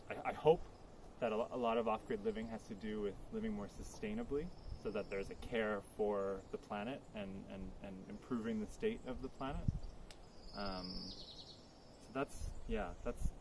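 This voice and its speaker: A man's voice: lowish voice, moderate pace, background noise. A man answering interview questions outdoors in an earnest, reflective way. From Poland. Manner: reflective, earnest, thinks aloud. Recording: crickets clearly audible outdoors.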